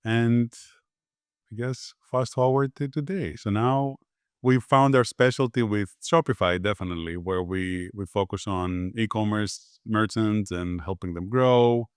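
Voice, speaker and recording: Low, smooth-voiced man from Greece. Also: a little slow, no echo, studio quality. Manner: relaxed, easygoing, with frequent fillers and restarts. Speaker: podcast guest, agency founder. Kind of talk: conversational interview answers.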